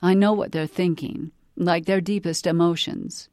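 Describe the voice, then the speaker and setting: A woman's voice: fairly low pitch, fairly even intonation, moderate pace, darkish tone, dry recording, clean studio sound, lightly acted. A woman voicing a tired, reedy-voiced pet psychic who talks in a hesitant, apologetic way.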